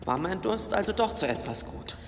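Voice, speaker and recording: Earnest young-hearted companion, echoing. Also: male voice, sits high, sing-song, moderate pace, vocal fry, heavily acted. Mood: defensive. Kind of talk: radio drama acting. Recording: echoing cavernous hall, faint noise.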